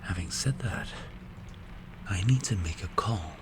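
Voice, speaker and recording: A man playing a strict, calculating mafia boss in an ASMR roleplay, speaking in a hushed, breathy, measured and menacing way. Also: deep voice, lively intonation, noisy background. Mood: calm, calculating. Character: strict, cold, calculating male mafia boss mentoring a protégé.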